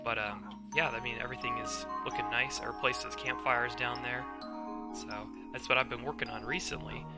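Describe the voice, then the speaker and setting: A man with a hoarse voice from being under the weather, hosting a casual gameplay commentary and explaining things step by step with many fillers. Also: mid-range voice. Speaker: let's play host. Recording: game music playing behind voice.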